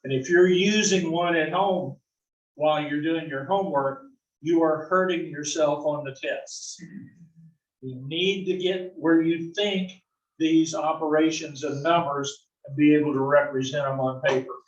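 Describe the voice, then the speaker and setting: A man's voice: fairly high pitch, steady tone, a little slow, muffled recording. Folksy male teacher in an echoey classroom. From south-central US. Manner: folksy, corny jokes, keeps checking in with "right?". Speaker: algebra instructor. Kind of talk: classroom lecture. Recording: echoey classroom, distant mic.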